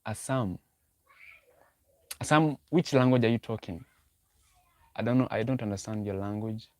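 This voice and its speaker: Low-voiced man chatting on a livestream. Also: normal speed, darkish tone, no room sound. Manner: repetitive, thinking aloud, talks to chat. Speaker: livestream host. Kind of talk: casual livestream talk, reading chat.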